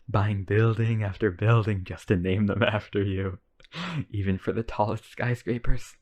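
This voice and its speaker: A man's voice: on the low side, darkish tone. A man playing an obsessively devoted, centuries-old vampire brother in a boyfriend roleplay, earnest and pleading.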